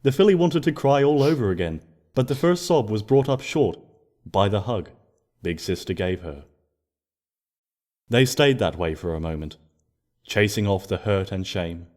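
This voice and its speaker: A man narrating a scripted story expressively, in a gentle storybook manner. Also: on the low side, animated, moderate pace, long pauses. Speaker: fan fiction narrator.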